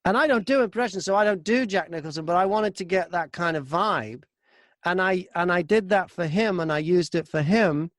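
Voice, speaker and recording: A British man talking casually in an interview as an audiobook narrator, telling stories in an animated way. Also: high voice, a little fast, dry recording, studio quality.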